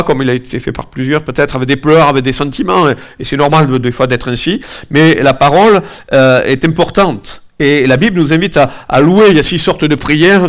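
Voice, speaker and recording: A man with a head cold giving a relaxed, conversational sermon to his congregation, with many fillers and asides, also leading a prayer. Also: a little high. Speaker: preacher. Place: church gathering, also streamed online.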